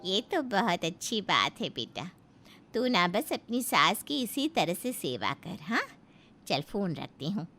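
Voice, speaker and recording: A woman's voice: mid-range voice, sing-song, a little slow, pushed and bright, exaggerated voice. A woman voicing a nosy, doting middle-aged mother with a prying, motherly manner in a moral story. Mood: approving.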